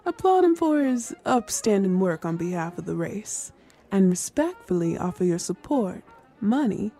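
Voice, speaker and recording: A woman's voice: mid-range voice, very animated, normal speed, warm tone, soft background music. An actress playing a loyal, tactful female assistant in a period radio drama, speaking in a proper, deferential, articulate way. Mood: composed, persuasive.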